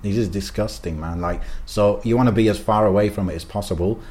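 A man's voice: on the low side, fairly quick, a little mellow. A man co-hosting a podcast, chatting loosely and giving strong opinions with plenty of fillers. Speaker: podcast co-host. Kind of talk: casual chat.

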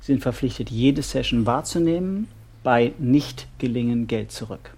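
An actor playing a brisk, controlling male couples therapist with a gravelly, husky voice and direct questions. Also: mid-range voice, expressive, background noise, natural voice. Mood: businesslike. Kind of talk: naturalistic German audio drama acting.

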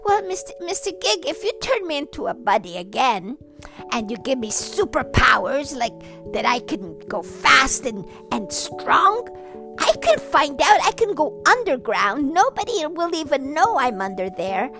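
A woman voicing an eager little boy who turns into a bunny, stammering and pleading excitedly. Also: high voice, measured pace, over music, exaggerated voice.

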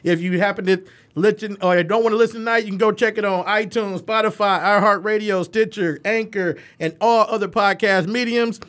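A man's voice: sits high, steady tone, brisk, hardly any pauses, fairly bright tone. A man co-hosting a casual podcast, talking in laid-back slang and laughing often. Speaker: podcast co-host.

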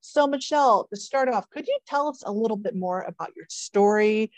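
A woman's voice: mid-range voice, lively intonation, normal speed, no echo. A woman hosting a podcast, chatting informally and emphatically as she speaks straight to her listeners. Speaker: podcast host and caregiver coach. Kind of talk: podcast intro and interview chat.

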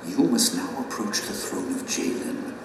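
An actor playing a solemn, courteous heavenly guide in an audio drama, formal and measured. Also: male voice, sits low, far from the mic, heavy background noise, natural voice. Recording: very echoey hall, music behind.

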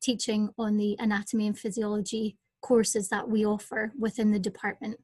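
A woman's voice: medium pitch, level tone, moderate pace, darkish tone, breathy edge, no echo. A Scottish woman, a lecturer guest in a podcast interview, recounting at length with some fillers, recorded at home. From Scotland. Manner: fluent storytelling with fillers. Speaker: guest, senior lecturer in anatomy and physiology. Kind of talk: interview answers. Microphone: home setup over digital platform.

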